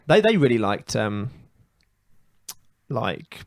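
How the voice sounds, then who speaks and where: A man's voice: mid-range voice, sing-song, takes it slowly, gravelly, dry recording, studio quality. A British man co-hosting a comedy podcast, chatting casually with colloquial, jokey complaints and opinions.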